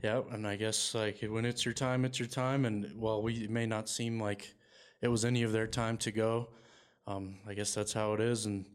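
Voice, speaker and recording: Even-toned man hosting a rugby chat. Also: fairly low pitch, moderate pace, clear, resonant tone. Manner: even, steady delivery with many fillers. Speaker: podcast host. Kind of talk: casual sports chat.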